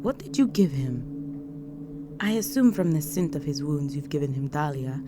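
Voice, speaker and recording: A woman voicing an imperious, seductive vampire countess in a scripted audio roleplay, poised, commanding and softly menacing. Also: low-pitched, lively intonation, unhurried, background noise. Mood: cool, curious.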